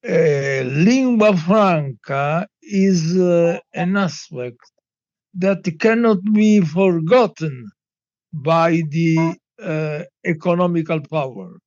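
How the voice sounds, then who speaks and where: A dry, dull recording of a man with a high voice. He speaks as a symposium participant in halting non-native English, slowly placing one word after another. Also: a little mellow, no echo. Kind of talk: unscripted discussion question. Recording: dull, dry lo-fi microphone sound.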